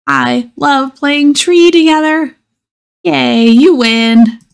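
A woman's voice: high voice, very slow, vocal fry, voice pushed up, full character voice. A woman voicing a jealous, anxious male porcupine from a children's storybook, dramatic, exclamatory and fretful. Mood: cheerful. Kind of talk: children's storybook read-aloud.

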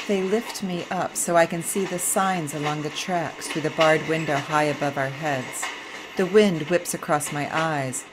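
A middle-aged woman reading a memoir excerpt aloud in a slow, reflective way. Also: low voice, fairly even intonation, background noise. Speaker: vlogger and author. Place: train compartment.

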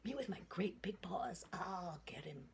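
A woman's voice: lowish voice, lively intonation, a little slow, vocal fry. A woman voicing a big, grumpy, boastful old male bear in a children's story. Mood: mocking, boastful. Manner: loud, exclaiming, boastful. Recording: noisy home recording with room ambience.

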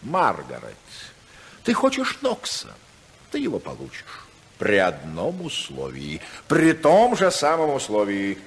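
An actor playing a cynical, scheming senator husband with a sardonic, theatrical, mock-polite manner in a 1950s Soviet radio drama. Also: male voice, fairly low pitch, huge pitch swings, a little slow, breathy edge, exaggerated voice. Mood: bargaining, cajoling. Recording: 1950s radio recording, long hall reverb.

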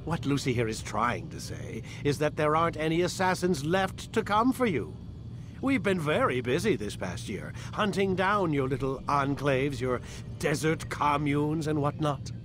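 Arrogant older scientist villain, game drama; sardonic, lively swooping delivery over music. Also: male voice, fairly high pitch, a little slow. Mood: smug. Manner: condescending, sardonic. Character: cold, arrogant male scientist holding a prisoner. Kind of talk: dramatic video game acting.

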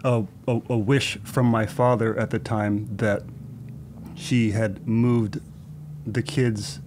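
A man in his forties, born in Thailand and raised in Southern California, telling his story as a podcast guest in a thoughtful, measured way. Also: fairly low pitch, level tone, darkish tone, a little hiss or hum. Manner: measured, thoughtful, recalls details with small restarts. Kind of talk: relaxed conversational storytelling. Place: podcast studio with shelves and plants. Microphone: studio desk mic with headphones.

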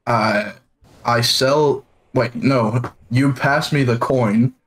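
A man's voice: mid-range voice, takes it slowly, poor audio. A man from Denmark playing a card game over voice chat, sarcastic and self-mocking. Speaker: streamer, duo partner. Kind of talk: casual gaming chat.

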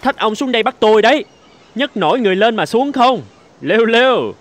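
A man's voice: very high voice, lively intonation, fairly quick, slight background noise. A man voicing a spiteful, cocky male sardine in a cartoon fable, mocking and gloating theatrically. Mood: taunting. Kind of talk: animated fable voice acting.

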